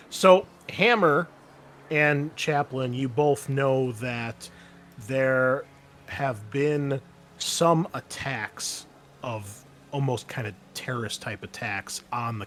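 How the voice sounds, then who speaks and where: A man running a tabletop game as game master, explaining and narrating casually in a halting, conversational way. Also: mid-range voice, a bit bright, a little hiss or hum.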